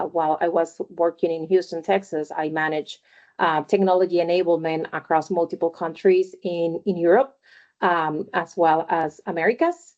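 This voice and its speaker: A woman's voice: lowish voice, mellow, lo-fi sound. A middle-aged woman gives a conversational career talk on a video call, with many fillers and "right?" tags. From Venezuela. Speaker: webinar panelist.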